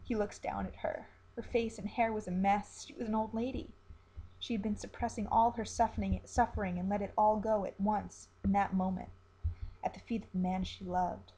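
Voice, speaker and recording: A woman with a husky, slightly rough voice narrates a grim story. She delivers it as a dramatic storyteller reading from a script. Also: medium pitch, level tone, moderate pace, mellow, poor audio.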